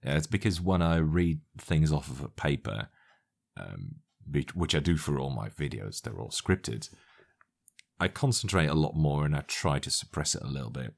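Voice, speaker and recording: A man's voice: very deep voice, expressive, normal speed, vocal fry. A man with a Dutch-tinged British English accent, answering interview questions in a frank, matter-of-fact way. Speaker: YouTuber guest. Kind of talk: interview conversation.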